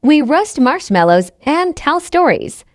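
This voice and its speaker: High voiced woman reading a learner story, very clear careful words, lots of up and down in pitch. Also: very animated, unhurried, no room sound, studio quality. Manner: carefully enunciated, repeats sentences identically. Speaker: narrator of English learning stories. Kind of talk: scripted slow narration for language learners.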